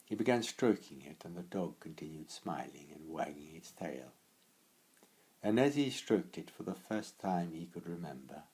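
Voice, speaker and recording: A man narrating a story expressively and deliberately, with dramatic pauses, as an author reading his own work. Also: extremely low pitch, mellow, breathy edge, low-quality recording.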